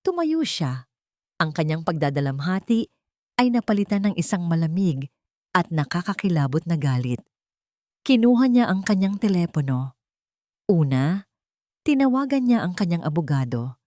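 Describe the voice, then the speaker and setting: A woman's voice: lowish voice, very animated, normal speed, pauses often, warm tone, dry recording, clean studio sound. A woman reading a dramatic scripted story as an audio drama narrator.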